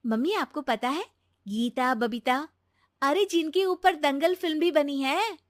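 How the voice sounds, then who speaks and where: A woman's voice: sits high, measured pace, no echo, clean studio sound, pitched above the performer's own voice. Woman as a bold young daughter-in-law. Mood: persuasive. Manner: earnest, persuasive.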